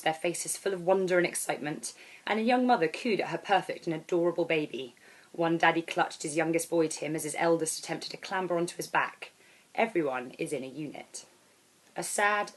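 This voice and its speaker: A woman reading aloud as an author, in expressive storybook narration. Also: fairly low pitch, rough recording. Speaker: author reading own novel.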